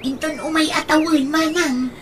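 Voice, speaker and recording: A woman's voice: high-pitched, steady tone, moderate pace, crowd noise, close to an everyday voice. A woman playing a frank, teasing younger sister in a comedy radio drama. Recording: noticeable background noise.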